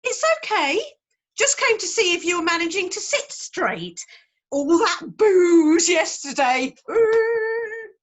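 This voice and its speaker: A woman's voice: very high voice, animated, takes it slowly, full character voice. An actress playing a cheeky, breezily snide female receptionist, reading a script aloud on a video call. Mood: breezy, teasing. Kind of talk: acted script table read.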